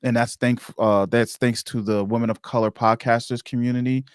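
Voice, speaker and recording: A man's voice: medium pitch, steady tone, a little fast, clear, resonant tone, no echo, studio quality. A man hosting a casual live-streamed podcast, speaking in a conversational, appreciative way. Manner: conversational, appreciative, repeats his thanks. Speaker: podcast host.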